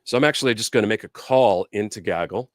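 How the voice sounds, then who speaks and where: A man's voice: fairly low pitch, fast talker, no echo, studio quality. A man hosting a casual live product demo and interview, explaining things in an informal, conversational way. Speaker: host and product demonstrator.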